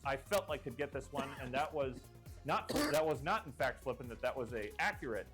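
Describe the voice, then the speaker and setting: A man hosting a live stream game show, rambling and jokey with many restarts, his voice getting hoarse. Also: mid-range voice, animated, brisk, fairly bright tone, soft background music. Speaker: host.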